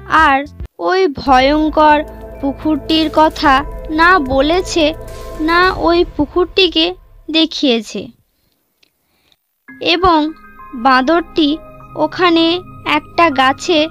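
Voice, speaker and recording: Lady narrating a children's cartoon story, high voice, really slow reading. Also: fairly bright tone.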